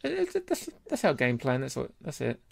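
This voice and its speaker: A man's voice: medium pitch, wildly swooping pitch, normal speed, gravelly, slight background noise. A man with a British accent, chatting casually as co-host of a beer podcast.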